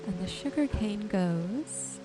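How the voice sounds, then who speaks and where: A woman giving relaxed ASMR-style gameplay commentary, gently thinking aloud without hurry. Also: mid-range voice, takes it slowly, over music, low-quality recording. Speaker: gaming video host.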